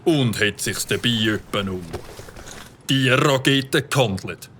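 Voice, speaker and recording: A man's voice: medium pitch, slow, fairly bright tone, a little hiss or hum. A man with a Swiss German accent voicing a stern, officious village policeman in a children's audio drama. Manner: officious, commanding.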